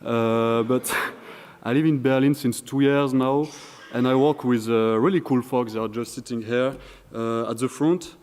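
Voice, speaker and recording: Young French-accented man presenting at a conference; casual, joking, full of fillers, mid-pitched voice in a hall with long reverb. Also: fairly even intonation, unhurried, a little mellow. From France. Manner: joking, hesitant, many fillers and restarts. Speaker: conference speaker. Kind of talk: live conference talk. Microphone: handheld or stage microphone.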